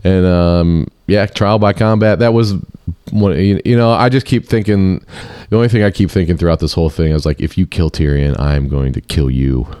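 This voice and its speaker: Man, very deep voice, dry close sound, blunt casual talk. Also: normal speed, warm tone, clean tone, dry recording. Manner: laid-back, blunt, swears casually. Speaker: podcast co-host. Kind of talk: casual podcast chat.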